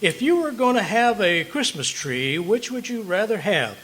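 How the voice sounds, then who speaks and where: A man's voice: sits high, big pitch swings, normal speed, a crowd in the background. A man playing a blustery, stubborn, penny-pinching husband in an old-time radio comedy, with indignant, folksy exaggeration. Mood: eager, scheming. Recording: live stage performance with background noise.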